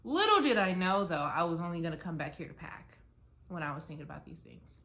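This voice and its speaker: A woman's voice: fairly low pitch, sing-song, fast, sharp tone. Rambling, chatty young American woman. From United States. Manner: chatty, rambling, many "like" fillers and restarts. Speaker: vlogger. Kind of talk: casual rambling chat to camera. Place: her apartment, mid-packing.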